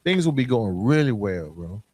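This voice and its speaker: A man's voice: on the low side, very animated, normal speed, darkish tone, dry recording. American man, dry close podcast sound. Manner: emphatic, colloquial, addresses listener directly with rhetorical questions. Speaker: podcast host. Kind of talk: motivational podcast monologue, casual and conversational.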